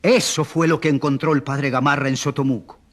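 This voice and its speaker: An actor playing a stubborn man from the provinces who pleads for an accused priest in a radio drama, halting and insistent. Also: medium pitch, steady tone, lightly acted. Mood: fervent.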